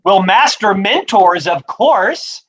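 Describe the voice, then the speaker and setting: A man, a book author, answering rapid-fire interview questions in an upbeat, promotional way over a video call. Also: sits high, animated, fairly bright tone, highs cut off. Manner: upbeat, promotional, says he stutters but talks fluently. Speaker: author and guest.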